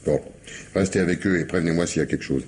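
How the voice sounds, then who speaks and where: An actor playing an insistent police commissioner in a radio mystery, gruffly courteous, muttering hesitations before abrupt questions. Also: male voice, low-pitched, racing, a little mellow, slight background noise, close to an everyday voice. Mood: stern, insistent. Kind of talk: French radio mystery play acting.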